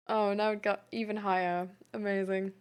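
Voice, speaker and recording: A woman chatting casually like a livestream host reading and answering messages, halting and giggly, with lots of "like" as filler. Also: medium pitch.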